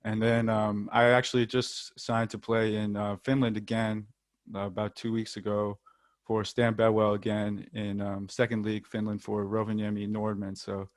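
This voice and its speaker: A man's voice: lowish voice, little pitch movement, normal speed, no echo, studio quality. A young American man, a football player, chatting casually in an interview with frequent ums and the odd light laugh. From United States.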